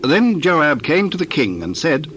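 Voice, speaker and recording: A man narrating scripture from a script in a solemn, measured style, phrase by phrase. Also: fairly high pitch, very animated, fairly bright tone, a little hiss or hum.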